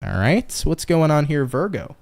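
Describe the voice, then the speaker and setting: A man's voice: a little high, big pitch swings, moderate pace, creaky, a little mellow. A man with a New York accent giving a casual live-stream tarot reading, chatty and swearing freely as he talks straight to viewers. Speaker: tarot reader.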